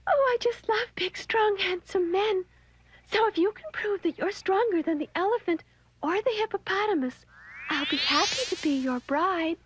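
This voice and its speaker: Cartoon girl impala: tiny high voice, coy, dainty, teasing. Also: moderate pace, a little hiss or hum. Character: graceful young female impala, coy and teasing. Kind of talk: animated children's story acting.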